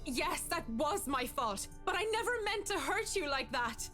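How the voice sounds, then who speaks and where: High-voiced, guilt-ridden young woman. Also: big pitch swings, normal speed, sharp tone, soft background music, exaggerated voice. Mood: remorseful, defensive. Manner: earnest, remorseful. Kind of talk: dramatic visual novel game acting.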